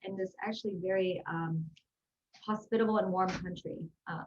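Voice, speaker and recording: A woman's voice: medium pitch, fairly even intonation, normal speed, a little mellow, muffled recording. A woman speaking as an interview guest, answering in an upbeat, earnest, conversational way. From Houston, Texas, United States. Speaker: guest, executive and life growth coach. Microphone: video call.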